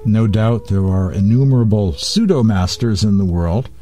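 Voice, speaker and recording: Low, mellow man reading spiritual texts. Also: expressive, normal speed, faint music behind. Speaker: host of a spiritual program.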